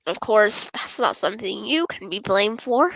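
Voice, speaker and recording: A woman's voice: mid-range voice, animated, moderate pace, gravelly, a bit bright, dry recording. A woman voicing a shy, apologetic young woman from a literature club in a visual novel game, with a hesitant, stammering delivery. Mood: earnest, hesitant.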